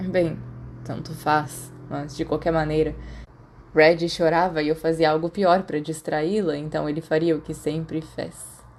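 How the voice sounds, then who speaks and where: A woman's voice: low-pitched, darkish tone, slight background noise. A woman voicing a tipsy young man in an audiobook, rueful and bitter as he rambles in slang. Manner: slangy, self-deprecating, drunken rambling. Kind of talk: audiobook character dialogue.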